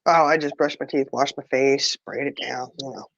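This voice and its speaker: Female co-host, playful dry joker, deadpan teasing, dead-dry slightly muffled mic. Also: very deep voice, normal speed, dry recording. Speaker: podcast co-host. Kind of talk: casual chat.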